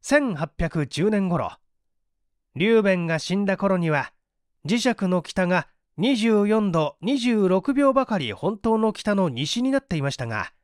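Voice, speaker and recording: Male reader as learned male detective, didactic and assured, high lively voice, mystery audiobook. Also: no room sound, studio quality. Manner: didactic, articulate. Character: male detective scientist, assured, patiently explaining his deductions. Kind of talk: dramatised audiobook reading.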